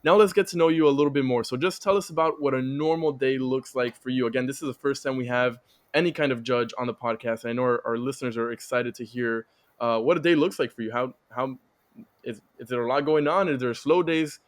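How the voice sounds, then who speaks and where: A man's voice: mid-range voice, fairly even intonation. A male podcast host with a mid-range voice fires off friendly questions several at a time, rephrasing as he goes. The delivery is casual and easygoing. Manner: friendly, asks questions in quick bunches. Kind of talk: casual interview hosting.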